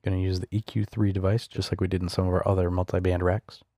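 Deep, gravelly male tutorial instructor. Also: little pitch movement, quick pace, mellow, no room sound, clean studio sound. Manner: casual, explanatory. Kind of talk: screencast tutorial narration. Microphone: close studio voice-over microphone.

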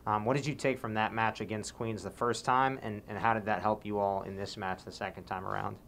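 A sports interviewer asks questions from off camera in a friendly, slightly halting way, often pausing on fillers and repeating words. A faint background hum sits under the voice. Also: medium pitch, flat delivery, gravelly, a bit bright.

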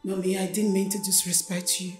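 A woman's voice: fairly low pitch, level tone, brisk, darkish tone, soft background music, close to an everyday voice. A young woman playing a desperate, defiant daughter with a Nigerian accent in an emotional film drama. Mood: weary pleading. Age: young adult. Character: desperate, defiant young daughter married off against her will. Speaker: actress.

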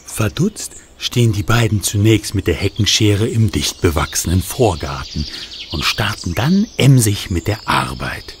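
A man narrating a children's radio play in an expressive storybook manner. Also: on the low side, a little slow, background noise.

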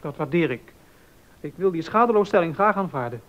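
A high-pitched actor's voice as an indignant male musician in a period docudrama, formally insisting on his rights. The recording is dull and lo-fi. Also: animated, fairly quick, lightly acted. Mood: formal, insistent. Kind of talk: Dutch docudrama acting.

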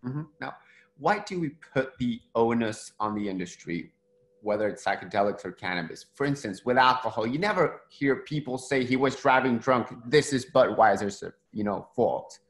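A man's voice: medium pitch, animated, normal speed. A man in his thirties from Argentina moderating a panel over a video call, talking casually and asking questions with many fillers. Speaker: webinar moderator.

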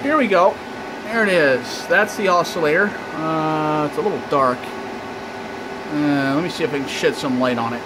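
A man's voice: fairly high pitch, unhurried. A man giving a casual hands-on demonstration of technical equipment as a hobbyist, explaining and thinking aloud as he goes. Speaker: amateur radio hobbyist giving an equipment tour. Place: small indoor equipment room with radio racks. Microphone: handheld camera or phone held by speaker. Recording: loud fan and ventilation equipment noise.

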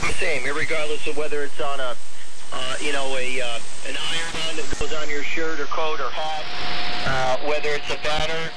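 Male religious radio speaker, fairly high voice, informal explaining style, very loud shortwave noise. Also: little pitch movement, moderate pace. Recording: very noisy shortwave reception.

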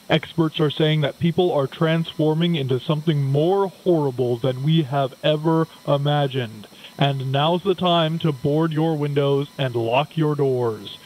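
A man's voice: fairly high pitch, darkish tone, poor audio. A man playing a grave emergency news announcer in an audio drama, formal and ominous. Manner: formal, ominous bulletin delivery.

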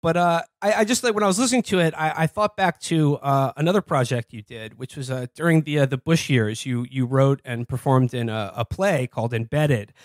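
Male American interviewer, average pitch, dry sound, casual, stammering podcast host. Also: brisk, few pauses, dry recording. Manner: stammering, repeats words while forming questions.

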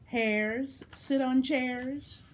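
A woman voicing a bossy, insistent know-it-all cat from a storybook, with emphatic, drawn-out delivery. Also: sits high, fairly even intonation, background noise, voice pushed up.

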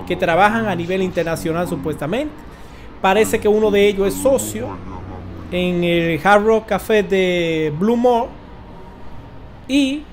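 A man in his forties with a Dominican accent gives informal news commentary as a host, halting and emphatic, with dramatic pauses. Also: high-pitched, a little hiss or hum. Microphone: close desk microphone.

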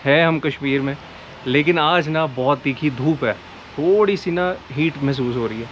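A man's voice: fairly high pitch, moderate pace, dull audio, slight background noise. A man in his forties filming a casual travel vlog at outdoor ruins, talking to a handheld camera and slipping English words into his Hindi. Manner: chatty, mixes English into his Hindi, says "yaar" often. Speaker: travel vlogger. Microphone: handheld camera at arm's length.

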